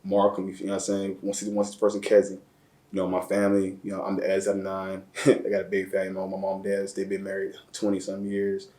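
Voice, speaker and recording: A man from the area, telling his life story as an interview guest in slang, often repeating words. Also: low voice. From Chicago area, Illinois. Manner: slow, flat delivery with repeated words and slang. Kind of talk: reflective personal storytelling. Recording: roomy echo.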